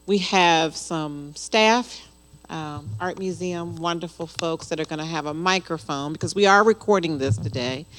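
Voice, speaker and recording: A woman hosting a panel discussion, affirming speakers and inviting audience questions. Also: sits low. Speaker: host and moderator.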